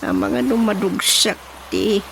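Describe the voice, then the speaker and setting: A bossy, excitable village chairman in an Ilocano-accented radio comedy. His pitch swoops wildly as he teases, chuckles and exclaims. There is faint noise behind him. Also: slow pace, vocal fry, full character voice. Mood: excited, impatient. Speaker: radio drama actor. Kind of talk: Filipino radio drama comedy acting.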